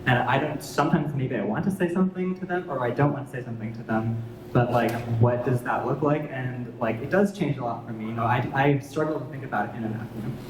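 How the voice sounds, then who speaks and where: A man's voice: mid-range voice, quick pace. A man moderating a panel, asking a long, rambling question full of the filler "like". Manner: rambling and filler-heavy, saying "like" often. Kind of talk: casual panel conversation. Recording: roomy hall reverb with background noise.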